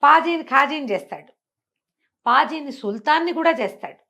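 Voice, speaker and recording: A woman's voice: sits high, lively intonation, normal speed, creaky, bright, forceful tone. A woman telling a moral tale expressively, explaining through dialogue and rhetorical questions. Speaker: storyteller. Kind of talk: animated oral storytelling of a moral fable, acting out dialogue.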